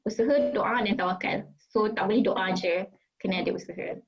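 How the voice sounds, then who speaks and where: A woman's voice: mid-range voice, steady tone, fairly quick, husky, highs cut off. A Malaysian woman doctor with a Malaysian English accent talks casually as an interview guest, mixing English and Malay and using many fillers. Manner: switches between English and Malay mid-sentence, many fillers.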